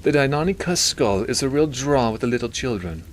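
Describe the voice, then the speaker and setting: Male narrator as a weary, pretentious man of science for an audiobook; nasal, pitched up, affected British airs, faint rain behind. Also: expressive, fairly quick, slight background noise, natural voice. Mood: dry. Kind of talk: audiobook character dialogue.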